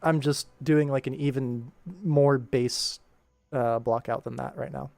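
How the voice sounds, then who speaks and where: A man's voice: mid-range voice, steady tone, a little slow, gravelly, darkish tone, no echo. A man talks casually through his work on a livestream, rambling and muttering to himself as he thinks aloud. Game soundtrack music plays behind his voice.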